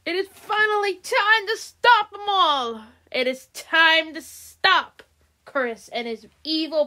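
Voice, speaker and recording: A gloating villain alicorn queen, put on by a woman, cackles between her boasts. Her voice sits up high and pushes loud and showy. She drags her words out slowly as she relishes each threat. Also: heavily acted. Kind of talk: fan pony roleplay.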